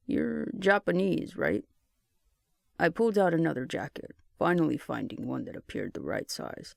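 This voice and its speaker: Male audiobook narrator, higher-set voice with heavy fry, first-person story reading. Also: no echo, studio quality.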